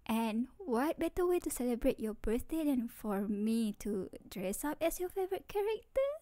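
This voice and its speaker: A woman's voice: on the high side, sing-song, moderate pace, warm tone, dry recording. A woman playing a sweet, playful, doting young girlfriend in an ASMR roleplay, cutesy and tender, close to the microphone. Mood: excited, loving. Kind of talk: ASMR roleplay, scripted acting.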